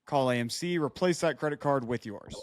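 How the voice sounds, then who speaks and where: A man with a mid-pitched voice chats casually as a podcast co-host. He is jokey and blunt, like someone handing out advice. The sound is dry. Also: brisk, dry recording. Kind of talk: casual chat.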